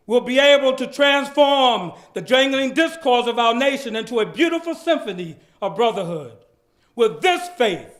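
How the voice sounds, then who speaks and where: Guy doing a big preacher style speech reading, really high pitched voice, emphatic, stretches out his phrases. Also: a bit bright. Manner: emphatic, declamatory, with drawn-out phrases and deliberate repetition. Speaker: orator performing a dramatic reading of a speech. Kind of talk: dramatic civil rights oratory, preacher-like. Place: live public event at a heritage center.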